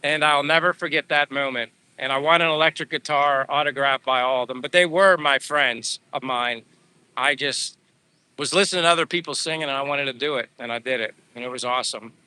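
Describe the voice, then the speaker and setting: Middle-aged male livestreamer, rambling and friendly, bright ringing tone, hoarse edge. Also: mid-range voice, a little fast, poor audio. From Pennsylvania, USA. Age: 50s. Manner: rambling, chatty, answers viewers by name. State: voice going hoarse from talking. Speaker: livestream vlogger. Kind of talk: casual live chat reading comments while walking. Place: outdoors on a walk.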